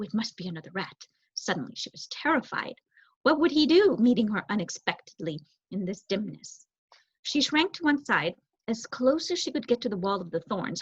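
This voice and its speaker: A young woman giving an expressive reading of a children's novel as narrator. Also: medium pitch, breathy edge. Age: young adult. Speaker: story reader, student. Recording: roomy echo, dull muffled sound.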